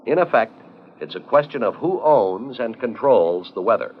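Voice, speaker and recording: Animated American man narrating, vintage film sound. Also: mid-range voice, normal speed, slight background noise. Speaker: documentary narrator. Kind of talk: scripted educational film narration. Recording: dull 1970s 16mm film soundtrack with music. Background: background music.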